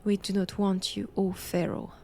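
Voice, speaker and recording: Faint background noise, woman playing a cold French-accented nun, slow and deliberate, creaky voice, haughty, hushed, intimate audio drama. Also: mid-range voice, little pitch movement, natural voice. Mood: haughty, composed. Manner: slow, deliberate, haughty, often dropping to a whisper. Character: cold, imperious nun examining a captive vampire.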